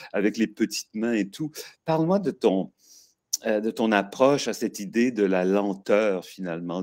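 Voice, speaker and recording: An older man hosting an interview over a video call, effusive and full of hesitations. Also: on the low side. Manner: warm, effusive, frequent hesitations. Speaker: host. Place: stone-walled room indoors.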